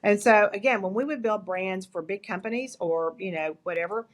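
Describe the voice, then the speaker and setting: A woman's voice: mid-range voice, moderate pace. An older woman with an East Texas accent, a guest in an interview, talking candidly and telling stories with lots of restarts. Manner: candid, animated storytelling with frequent restarts. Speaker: guest, agency founder. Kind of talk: interview conversation.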